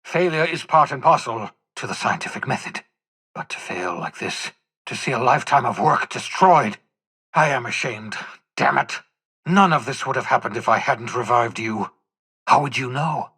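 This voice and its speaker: A man playing an eccentric, obsessive older fugitive scientist in a video game, raspy and theatrical, with emphatic, articulate phrasing and bitter self-reproach. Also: medium pitch, lively intonation, moderate pace, bright, forceful tone. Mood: anguished, frustrated. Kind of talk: dramatic video game dialogue.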